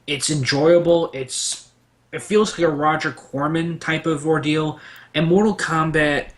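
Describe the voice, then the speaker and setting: A man's voice: fairly high pitch, steady tone, a little slow. A man on a casual pop-culture debate panel, sharing trivia in a rambling, anecdotal and emphatic way.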